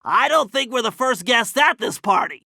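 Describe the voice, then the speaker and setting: A man's voice: very high voice, expressive, moderate pace, vocal fry, bright, forceful tone, no echo, studio quality, exaggerated voice. A man voicing a brainy, fussy young cartoon turtle in a video game, nasal and precise, speaking with earnest emphasis as he plans missions. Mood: alert, instructing.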